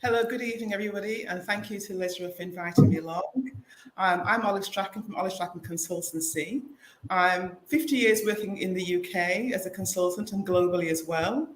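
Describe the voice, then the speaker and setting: A woman's voice: lowish voice, fairly quick, a little hiss or hum. Woman consultant in an echoey room. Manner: relaxed, with frequent ums and uhs. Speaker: business consultant. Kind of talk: online panel introduction.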